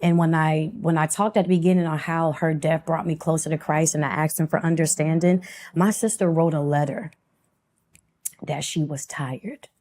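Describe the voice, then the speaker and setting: A woman's voice: low voice, steady tone, normal speed, a little mellow. A woman in her thirties speaking as an interview guest at a church, giving earnest, devout personal testimony in a conversational way. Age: 30s.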